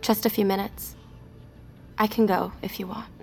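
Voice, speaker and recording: Actress as a practical woman in charge, medium pitch, direct, earnest, naturalistic sci-fi drama, faint music. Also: steady tone, normal speed, creaky, natural voice. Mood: earnest, conciliatory. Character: earnest, practical woman leading a trapped crew. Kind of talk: naturalistic audio drama acting.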